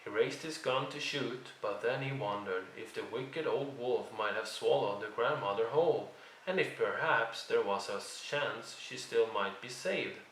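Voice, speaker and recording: A man narrating a children's read-along story in an expressive storytelling style. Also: mid-range voice, unhurried, a little hiss or hum. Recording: roomy, distant microphone.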